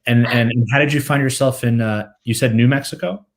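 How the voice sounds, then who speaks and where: A man's voice: medium pitch, fairly even intonation, a little fast. A man hosting an interview podcast, asking curious questions with many restarts and repeated words. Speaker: podcast host. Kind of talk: casual interview.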